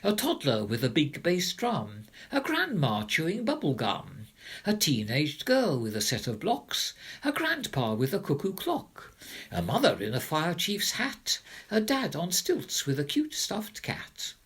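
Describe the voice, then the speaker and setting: A man reading a rhyming children's story as narrator, with expressive, theatrical delivery. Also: a little high, unhurried, slightly rough. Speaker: narrator, reader of children's poems.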